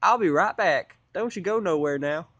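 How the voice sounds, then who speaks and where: Man voicing an eager, high-pitched little country filly. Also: animated, moderate pace, fairly bright tone, no room sound, lo-fi sound. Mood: stern. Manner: pleading, earnest, folksy country grammar. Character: eager, earnest young female pony filly, country-raised.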